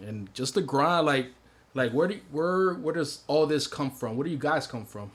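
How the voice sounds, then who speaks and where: A man hosting a casual podcast interview, asking questions in a relaxed, conversational way. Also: fairly high pitch, moderate pace.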